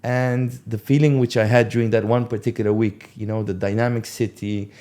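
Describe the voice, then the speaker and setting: A man in his forties talks through a long anecdote as an interview guest. His voice sits low with a soft, warm tone. He drifts into asides and fillers as he goes. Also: fairly quick. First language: English. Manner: relaxed, rambling, many fillers and asides. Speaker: podcast guest, company co-founder. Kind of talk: storytelling in a casual interview.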